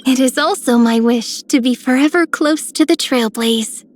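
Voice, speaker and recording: A high, sweet young female voice for a gentle video game healer. She speaks softly and earnestly, with a tender temper. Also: normal speed. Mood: earnest, warm. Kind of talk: video game character voice lines.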